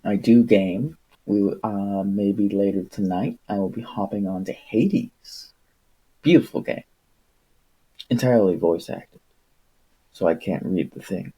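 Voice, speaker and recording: Slow-paced, mellow-voiced man streamer. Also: on the low side, husky, poor audio. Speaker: streamer reading a book aloud. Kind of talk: audiobook-style reading and casual livestream chat.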